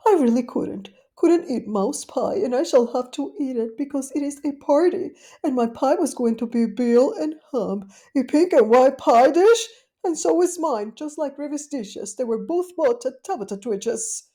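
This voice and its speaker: A fussy, anxious little lady dog from a storybook, as a woman reader puts her on, fretting aloud to herself in a raised, lilting voice with a changed, lighter tone. She sounds polite but worried, with a soft, mellow edge. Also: high-pitched, animated, normal speed, voice pushed up. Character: fretful, scheming genteel little female dog. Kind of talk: storybook character voice.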